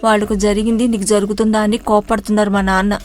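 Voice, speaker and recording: A woman voicing a frightened, distressed young village woman who speaks in a plaintive, despairing way, in an animated story. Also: mid-range voice, quick pace, close to an everyday voice.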